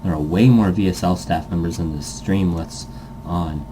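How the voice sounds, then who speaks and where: Male gaming host, deep and relaxed, rambling casual delivery, faint room hum behind him. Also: moderate pace. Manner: rambling, thinking aloud. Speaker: host of a visual novel playthrough series. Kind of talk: casual gaming commentary mixed with reading game text aloud.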